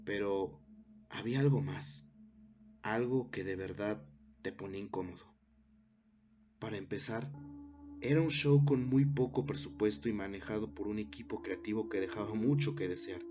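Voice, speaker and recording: A man narrating a scary story in a measured way, with dramatic pauses. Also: on the low side. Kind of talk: scripted horror story narration. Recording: dull lo-fi recording with music underneath.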